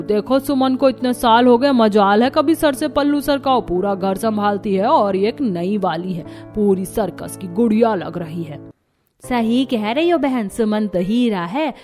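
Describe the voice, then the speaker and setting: A woman's voice: mid-range voice, unhurried, darkish tone, natural voice. A woman puts on an older village gossip for a cartoon tale. She is catty and full of scandalised disapproval, over faint music. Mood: mocking, scandalised. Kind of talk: Hindi cartoon story dialogue.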